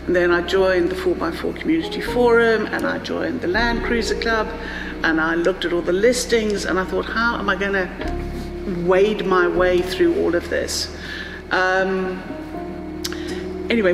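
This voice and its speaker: A middle-aged woman from Zambia with a Southern African accent, telling her own travel story in a conversational, reflective, anecdotal way. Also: medium pitch. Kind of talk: personal storytelling, conversational interview narration. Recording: echoey, reverberant room with music behind. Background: music.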